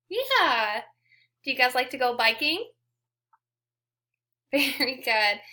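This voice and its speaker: A woman's voice: sits high, bright, forceful tone. A woman teacher reading a picture book aloud to young children, asking questions and giving frequent encouraging praise. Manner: encouraging, prompting, repeats praise to listeners.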